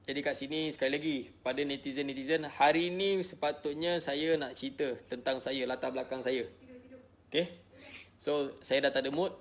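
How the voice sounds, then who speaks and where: A middle-aged man from Malaysia with a Malaysian Malay accent, tired, defensively explaining himself to followers and repeating his points. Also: medium pitch. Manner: emotional, repetitive, defensive. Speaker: social media personality. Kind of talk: personal explanation to followers, casual.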